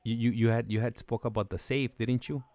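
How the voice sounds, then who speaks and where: A man's voice: mid-range voice, steady tone, fairly quick, darkish tone, no room sound. A man hosting a casual conversation, speaking in a hesitant, stammering way. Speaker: host taking the call. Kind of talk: casual chat.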